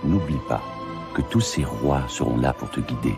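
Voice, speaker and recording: A man voicing a wise, affectionate lion king father in an animated film, grave and gentle. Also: extremely low pitch, moderate pace, full character voice. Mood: tender, solemn. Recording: music clearly behind the voice.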